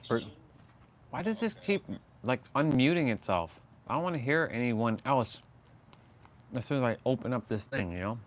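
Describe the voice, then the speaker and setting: Guy rambling on his livestream, mid pitch, lots of um and restarts. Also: animated, normal speed, pauses often. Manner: rambling, laughing, many restarts and fillers. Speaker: livestream host. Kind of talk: casual livestream chat.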